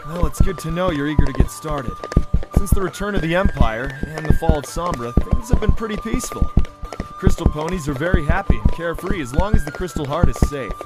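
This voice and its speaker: A man's voice: sits high, huge pitch swings, fairly quick, loud music behind the voice, low-quality recording. A man acting a friendly, modest young pony prince in an audio drama, with an easygoing, earnest manner.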